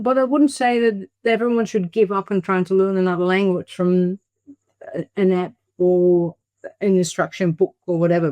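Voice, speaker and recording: A woman's voice: medium pitch, a little mellow, no echo. An older woman who speaks English as a second language, talking conversationally as an interview guest. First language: not English (English is second language). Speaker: interview guest, emerita biology professor.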